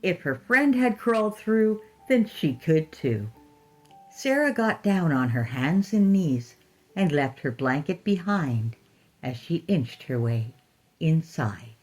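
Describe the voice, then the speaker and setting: A woman narrating a scripted horror story in a deliberate, expressive storytelling manner. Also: deep voice, soft background music.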